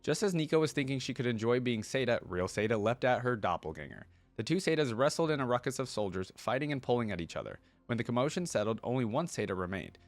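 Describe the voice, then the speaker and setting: A man hosting a reaction video, reading a story aloud with animated delivery and breaking off for casual comments. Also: medium pitch, quick pace, hardly any pauses, no echo.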